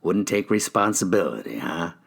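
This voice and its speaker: A man voicing a gruff, sneering police detective who needles a suspect in an audiobook. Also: low voice, sing-song, normal speed, a bit bright, full character voice. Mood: mocking. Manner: taunting, needling. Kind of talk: audiobook character dialogue.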